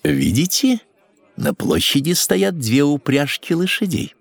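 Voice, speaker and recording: Proud courteous scientist of centuries past, very animated intonation, deliberate slow delivery, theatrical, audio drama. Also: male voice, on the high side, vocal fry, dry recording, exaggerated voice. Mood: proud, explanatory. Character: 17th-century male scientist demonstrating his experiment, proud and courteous. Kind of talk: scripted educational audio drama.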